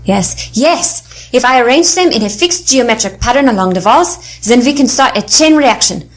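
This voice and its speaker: A woman's voice: on the high side, lively intonation, moderate pace, lightly acted. A woman playing an earnest, methodical demolitions expert in an adventure audio drama, speaking precisely and seriously. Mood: focused.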